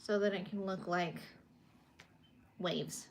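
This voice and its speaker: A young woman from California, an artist casually chatting on a livestream from her bedroom as she shows her paintings and answers viewer comments. She is soft-spoken and a little awkward. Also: lowish voice, steady tone, takes it slowly, gravelly, slight background noise.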